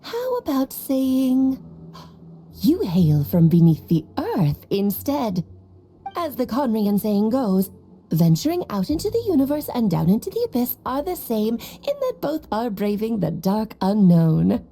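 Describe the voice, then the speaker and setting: A woman's voice: fairly high pitch, sing-song, a little slow, warm tone, faint music behind. A woman voicing a sly, worldly mentor with playful, theatrical phrasing and cryptic advice in an anime-style game. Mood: teasing, knowing.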